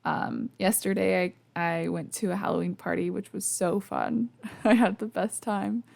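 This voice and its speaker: A woman's voice: lowish voice, fairly even intonation, moderate pace, vocal fry, a little mellow. A young woman hosting her own podcast in a casual, chatty, self-deprecating way, sleepy and losing her voice, laughing often between lots of fillers. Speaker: podcast host. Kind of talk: casual solo podcast chat. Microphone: podcast microphone.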